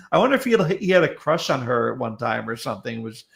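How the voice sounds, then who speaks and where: A man's voice: medium pitch, sing-song, moderate pace, vocal fry. A man chatting casually and comedically as a talk show guest, often stammering and repeating words as he goes. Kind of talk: casual comedic panel chat.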